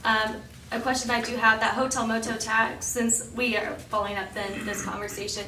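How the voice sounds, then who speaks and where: Woman w/ slightly raspy bright voice, chatty meeting report, lots of ums, echoey council room, mic far off. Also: fairly high pitch, little pitch movement, normal speed, a little hiss or hum. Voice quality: slightly raspy from cheering over the weekend. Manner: chatty, many ums and self-corrections. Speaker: downtown business promoter reporting to council. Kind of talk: informal report at a public meeting. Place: council chamber.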